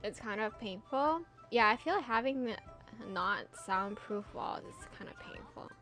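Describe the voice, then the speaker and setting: A woman's voice: on the high side, expressive, normal speed, a bit bright, soft background music. A young woman streaming a game, chatting casually and animatedly with her viewers, laughing often and trailing off into filler words. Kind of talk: casual gameplay chat with chat readers.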